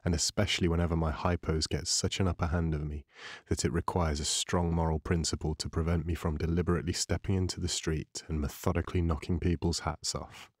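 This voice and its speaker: A man with a husky voice reads a literary novel as an audiobook narrator, in a measured, dramatic storytelling manner. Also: rumbling low, no room sound, clean studio sound. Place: dry studio voice-over recording.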